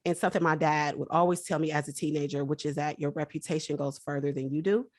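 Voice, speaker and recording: A woman's voice: sits low, fairly even intonation, fast talker, a little mellow, dry recording. Earnest woman, dry close sound. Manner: earnest and encouraging. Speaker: personal branding guest. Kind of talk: faith-based conversational interview.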